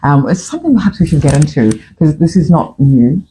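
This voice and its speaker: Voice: medium pitch, sing-song, fairly quick, mellow, a little hiss or hum, rough recording. A host in their fifties from Fiji, speaking with a Fijian accent in a casual, opinionated morning chat, full of restarts and the odd swear word. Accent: Fijian English. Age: 50s. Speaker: podcast host.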